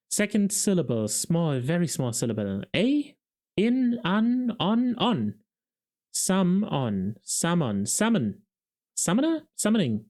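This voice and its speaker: A man's voice: high voice, a little mellow, pitched above the performer's own voice. A man voicing an earnest, naive young male city watchman, eagerly puzzling out guesses.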